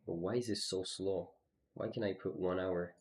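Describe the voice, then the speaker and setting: A man's voice: low-pitched, steady tone, normal speed, darkish tone. A man streaming live, casually walking through his trades on a chart, with lots of "you know" and "okay". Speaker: trading streamer.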